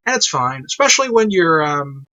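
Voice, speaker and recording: Bright, forceful man rambling through a review. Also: a little high, very animated, normal speed, dry recording. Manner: rambling, repeats himself for emphasis. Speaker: review show host, online reviewer. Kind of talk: casual unscripted review commentary. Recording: dull lo-fi mic, music behind. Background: orchestral and piano classical music.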